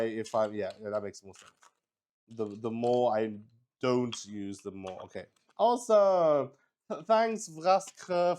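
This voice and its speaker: A man with a mid-pitched voice talks slowly and casually as he runs a solo tabletop game. He thinks out loud, stopping and starting, often repeating a word before he moves on. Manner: halting, thinking aloud, repeats words; swears casually. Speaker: host playing a solo tabletop roleplaying game. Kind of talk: casual live-stream chat with game narration.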